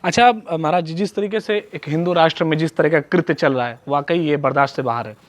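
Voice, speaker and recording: Male interviewer asking news questions, voice a bit high, dry recording. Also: fast talker, dry recording.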